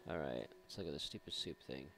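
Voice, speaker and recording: A man's voice: rumbling low, fast, creaky, noisy background. A man giving casual, sarcastic, often profane commentary while playing a video game. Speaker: gaming video host. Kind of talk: casual let's play commentary.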